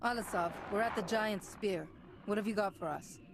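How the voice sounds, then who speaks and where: A woman playing a composed, resolute Jedi knight in a video game, measured and matter-of-fact. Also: medium pitch, music in the background, lightly acted. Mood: businesslike. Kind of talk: video game cutscene acting.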